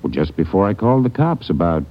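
A jittery American man who runs a small hotel, defensive and hesitant in an old radio drama. His fairly low voice rises and falls sharply as he protests and dodges. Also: sing-song, quick pace. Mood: shaken. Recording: muffled old radio broadcast recording.

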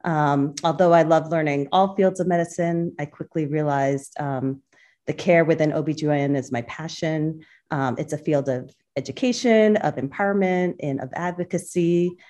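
A woman's voice: deep voice, normal speed, dark tone. A woman obstetrician-gynecologist from Boston, answering interview questions thoughtfully with frequent fillers. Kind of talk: podcast interview.

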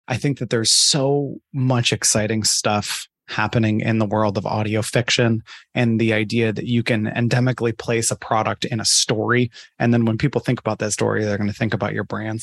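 A man's voice: fairly low pitch, gravelly, no echo, studio quality. A man speaking conversationally as an interviewed marketing expert, thinking aloud with emphasis. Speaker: podcast advertising expert being interviewed.

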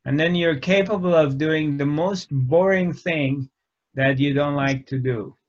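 A man giving a reflective spiritual talk, speaking in short phrases with frequent pauses. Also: fairly high pitch. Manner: slow, measured, pausing often between phrases. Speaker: spiritual teacher. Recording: dull lo-fi recording.

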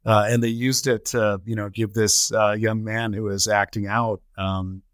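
A middle-aged man from the United States and Trinidad, telling his personal story as an interview guest in measured phrases. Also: on the low side, level tone, a bit bright, no echo, studio quality. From United States (Colorado) and Trinidad. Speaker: interview guest, nonprofit chief executive.

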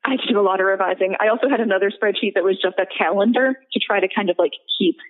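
A woman's voice: medium pitch, rapid-fire. Chatty woman on a tinny phone-like line. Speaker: guest, audio drama writer and producer. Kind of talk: casual conversational interview.

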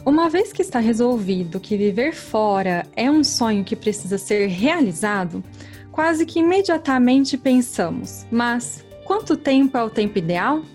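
A woman's voice: a little high, animated, unhurried, a little mellow, soft background music. A woman with a rural interior São Paulo accent, co-hosting a podcast and putting questions to a guest. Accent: rural interior São Paulo Brazilian. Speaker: podcast co-host.

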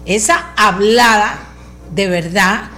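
A woman's voice: mid-range voice, slow pace, sharp tone, soft background music. A woman with a Costa Rican accent and a powerful voice, hosting a talk show with insistent, emphatic political commentary that repeats phrases for stress. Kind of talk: opinionated political commentary addressed to listeners.

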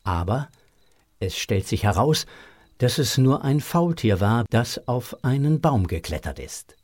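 Male narrator for children's audio drama, unhurried storyteller, medium pitch, relaxed pace, dry studio sound. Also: dry recording.

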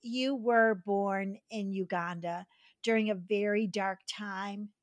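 A woman's voice: mid-range voice, steady tone, takes it slowly, no room sound. A woman hosting an interview, enthusiastic and affectionate with her guest. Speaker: podcast host.

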